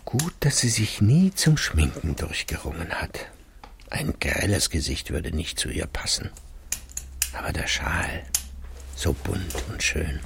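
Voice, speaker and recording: An actor playing a weary, secretive middle-aged intellectual husband who drinks, musing wryly in a radio drama monologue. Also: male voice, low-pitched, big pitch swings, vocal fry, a little hiss or hum, full character voice. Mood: tender, musing. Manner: deliberate, wry, musing. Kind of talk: radio play interior monologue.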